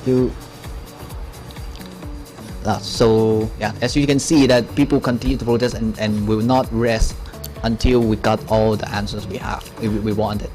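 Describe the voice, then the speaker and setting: A man's voice: fairly low pitch, fairly even intonation, a little slow, darkish tone, faint music behind. A man from Hong Kong giving unscripted, explanatory interview answers, searching for words but speaking with emphasis. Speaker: interview guest, protest supporter.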